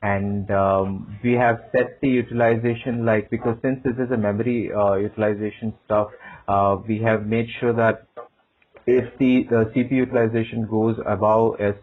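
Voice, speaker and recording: A man's voice: lowish voice, steady tone, normal speed. A man answering as a candidate in a phone job interview, hesitant and often repeating his words. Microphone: phone call. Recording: narrowband tinny phone line.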